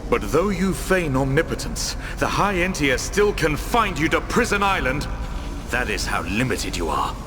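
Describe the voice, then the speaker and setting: A man's voice: on the high side, very animated, moderate pace. A man playing a proud, scornful nobleman in a fantasy game cutscene, formal and theatrical. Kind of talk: dramatic game cutscene acting. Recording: long cavernous reverb, music behind.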